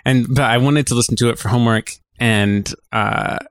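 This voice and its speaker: A man co-hosting a podcast, chatting informally and telling things in a halting, conversational way. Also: mid-range voice, fairly even intonation, vocal fry, dry recording, clean studio sound. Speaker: podcast co-host. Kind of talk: casual chat.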